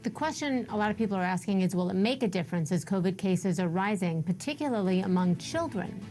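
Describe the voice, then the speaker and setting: A woman's voice: mid-range voice, animated, quick pace, a little mellow, faint music behind, rough recording. Female US anchor, middle register, scripted broadcast news read. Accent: American.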